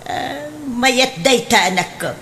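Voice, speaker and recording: Gentle elderly Ilocano mother, drawn-out delivery. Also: fairly high pitch, animated, full character voice. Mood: gently concerned. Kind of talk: radio drama acting. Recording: faint background noise.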